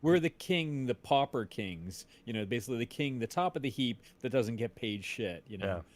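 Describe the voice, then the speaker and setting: A man's voice: medium pitch, expressive, normal speed. A man hosting a casual podcast chat, enthusiastic and appreciative toward his guest. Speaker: podcast host.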